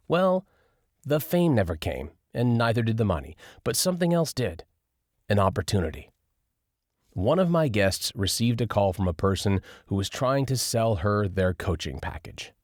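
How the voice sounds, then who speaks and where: A man narrating a first-person nonfiction audiobook in an expressive, lively reading style. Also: on the low side, moderate pace, dry recording, clean studio sound.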